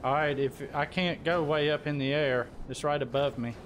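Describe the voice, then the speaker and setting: Higher-pitched man streaming a game. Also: steady tone, moderate pace, a little hiss or hum, poor audio. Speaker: livestream host and gamer. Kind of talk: casual live game stream chat.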